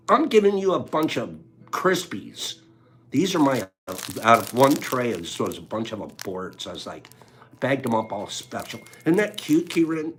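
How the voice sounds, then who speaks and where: A man's voice: mid-range voice, a little hiss or hum. A man hosting a casual livestream, chatting with viewers and running giveaways in a playful, teasing way. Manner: playful, teasing, talks straight to chat. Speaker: livestream host.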